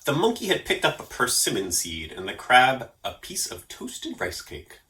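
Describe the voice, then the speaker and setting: A man reading a story aloud as an expressive narrator. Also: mid-range voice, moderate pace. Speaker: storyteller for a library story program.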